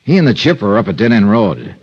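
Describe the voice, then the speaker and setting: An actor playing a cool, wry hard-boiled American investigator, dry and laconic, in a 1950s radio detective drama. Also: male voice, lowish voice, very animated, fast, slightly rough. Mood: calm, goading. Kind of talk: 1950s radio detective acting and first-person narration. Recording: dull, noisy old radio recording.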